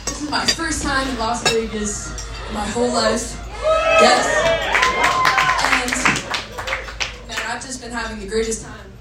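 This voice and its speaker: A woman in her twenties from Massachusetts, a saxophonist bandleader chatting excitedly with a club crowd between songs, laughing often. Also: on the high side, unhurried, pauses often, over loud music. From Massachusetts, USA. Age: 20s. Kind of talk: live stage banter between songs. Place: live music club on stage. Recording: echoey club, distant mic, loud crowd and noise.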